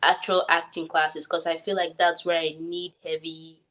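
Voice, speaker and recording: A woman's voice: deep voice, level tone, moderate pace, fairly bright tone. A young woman with a Nigerian accent, answering interview questions about acting in a thoughtful way, full of likes and restarts. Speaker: guest actor.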